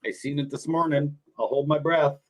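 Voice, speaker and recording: A man, a podcast guest chatting casually, animated and rambling, laughing often. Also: on the high side, moderate pace. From New Hampshire. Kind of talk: casual sports chat.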